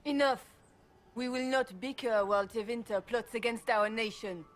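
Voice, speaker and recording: An actress playing an imperious, stern Empress in a video game, regal and authoritative. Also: female voice, a little high, moderate pace, lightly acted.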